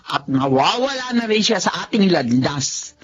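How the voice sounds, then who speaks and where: A woman's voice: fairly low pitch, sing-song, a little slow, dull audio. A woman with a Filipino accent playing a cruel, greedy aunt in a melodramatic radio drama, scolding and sneering. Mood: gloating. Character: cruel, greedy aunt and mother, domineering, mocking villain.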